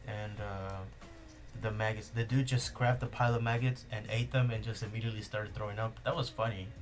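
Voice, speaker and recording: Young guy w spanish accent rambling on a podcast, lowish voice, music playing behind. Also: steady tone, brisk. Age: young adult. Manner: rambling, many fillers and restarts. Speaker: podcast host. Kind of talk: casual chat.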